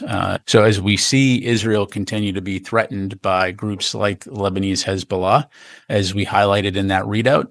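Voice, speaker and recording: An American man answering as an official spokesman at a press briefing, guarded, measured and formal. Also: lowish voice, fairly bright tone, no room sound. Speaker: Pentagon spokesman.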